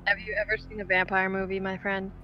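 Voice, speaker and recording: A woman's voice: medium pitch, level tone, fast, highs cut off, soft background music, close to an everyday voice. A woman playing a brash young female vampire in a tabletop game, blunt, casual and profane. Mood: blunt, defensive.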